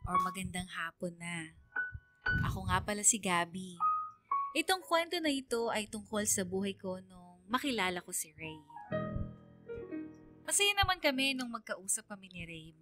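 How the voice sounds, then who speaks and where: A woman's voice: mid-range voice, expressive, normal speed, long pauses, music in the background. A woman with a Filipino accent narrating a personal story in the first person for radio.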